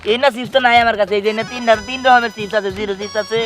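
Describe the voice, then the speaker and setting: A man's voice: high voice, steady tone, very fast. A man voicing a teasing, playful young snack seller in a cartoon, with a Bangladeshi accent. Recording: loud music bed behind voice.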